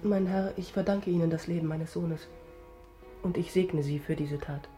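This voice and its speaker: A woman's voice: fairly low pitch, moderate pace, dark tone, faint music behind, close to an everyday voice. A woman acting a dignified, restrained middle-aged countess and mother in a German radio drama, speaking graciously and formally. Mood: moved, gracious.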